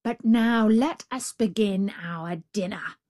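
A woman's voice: mid-range voice, slow, darkish tone, close to an everyday voice. A woman voicing a savage male tiger in a storybook, growling, bullying and domineering. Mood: menacing. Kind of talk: storybook character voice.

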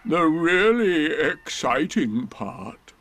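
A man voicing a gloomy, melancholy toy donkey in a storybook, with mournful, drawn-out, resigned speech. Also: sits high, very animated, pitched above the performer's own voice, exaggerated voice. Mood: self-pitying gloom.

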